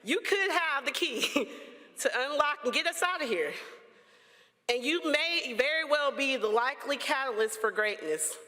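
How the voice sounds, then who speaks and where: A woman's voice: high voice, animated, normal speed, bright, forceful tone. A woman giving an encouraging, rousing commencement address with playful asides. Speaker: keynote speaker. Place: large auditorium. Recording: long hall reverb.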